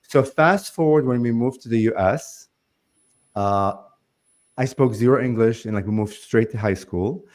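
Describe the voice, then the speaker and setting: A man's voice: mid-range voice, unhurried, a little mellow. A man, a guest on a remote call, talking earnestly and loosely with many "like"s and "um"s. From Lebanon. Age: 40s. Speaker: guest, spiritual channel. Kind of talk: conversational interview.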